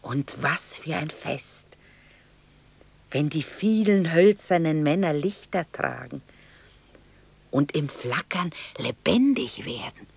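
Aging homesick widow, very slow, halting, anxious reminiscing, husky, dry close sound, 1960s radio play. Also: female voice, low-pitched, lively intonation, no echo, heavily acted. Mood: wistful reverie. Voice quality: husky, breathy, creaky. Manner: halting, hushed, reminiscing in short fragments. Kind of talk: 1960s German radio drama monologue.

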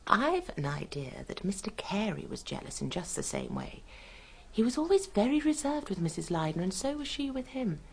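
A woman's voice: medium pitch, wildly swooping pitch, normal speed, gravelly, husky, full character voice. A woman playing a plain-spoken, proper nurse in a radio drama, with an English accent and a confiding, frank manner. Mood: gossipy, frank. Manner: confiding, hesitant, then frank.

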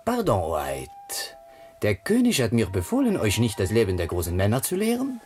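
A prince's fond tutor in a radio drama. His fairly low voice rises and falls dramatically as he earnestly admonishes, with faint music behind. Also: wildly swooping pitch, moderate pace, a little mellow. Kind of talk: German radio drama acting.

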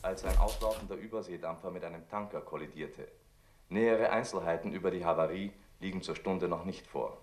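A man playing a formal, impersonal radio newsreader in a drama, delivering a bulletin in a measured, official way. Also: low voice, echoey room, close to an everyday voice.

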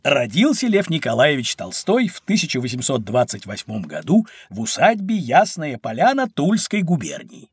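A man playing a kindly, knowledgeable uncle who explains things to children in an expressive educational audio drama. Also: mid-range voice, moderate pace, few pauses, slightly rough, no room sound. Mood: explanatory, kindly.

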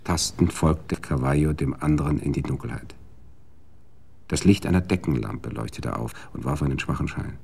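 A man narrating a radio drama in a measured, sober storytelling manner. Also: very deep voice, gravelly, a little mellow, husky.

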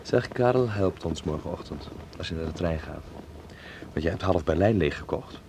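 Young actor, low voice, gravelly, teasing, chatty, expressive, radio play dialogue. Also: male voice, moderate pace, noisy background. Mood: subdued, reflective. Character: young film actor in Berlin, playful, enthusiastic guide. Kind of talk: naturalistic Dutch radio drama dialogue.

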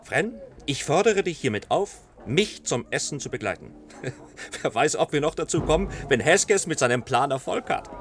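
A man's voice: on the high side, sing-song, normal speed, a little hiss or hum. An actor playing a sardonic, insistent male friend in a German radio play, speaking in an urbane, teasing way.

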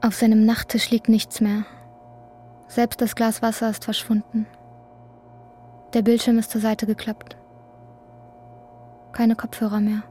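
A woman's voice: fairly high pitch, monotone, a little slow, pauses often, warm tone, a little hiss or hum, lightly acted. A woman narrating a literary radio drama, recounting an anxious man's inner thoughts. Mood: reflective, measured.

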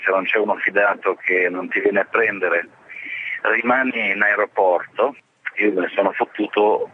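A man gives a spontaneous eyewitness account in an interview, with halting, emphatic phrasing. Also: low-pitched. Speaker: journalist interviewed about his trip. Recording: noisy, muffled phone-line radio interview.

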